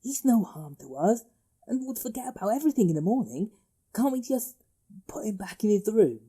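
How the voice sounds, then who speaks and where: An actor playing a deferential, hesitant care-home underling in an audio drama, meek and reluctant. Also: male voice, high-pitched, very animated, a little fast, mellow, slightly rough, heavily acted.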